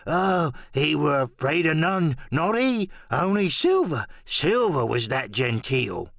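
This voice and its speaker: High-pitched, rambling old pirate castaway. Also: male voice, big pitch swings, takes it slowly, vocal fry, fairly bright tone, no room sound, clean studio sound, full character voice. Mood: excited, confiding. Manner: rustic sailor talk, drawn out and rambling. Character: wily, anxious, half-crazed old marooned pirate castaway. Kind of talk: audiobook radio play acting.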